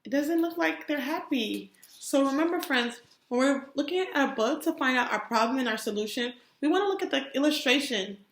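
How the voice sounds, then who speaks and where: A woman's voice: high-pitched, animated, a little fast, few pauses, reverberant. A woman teacher reading a children's story aloud expressively, stopping to put questions to young listeners. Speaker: kindergarten teacher.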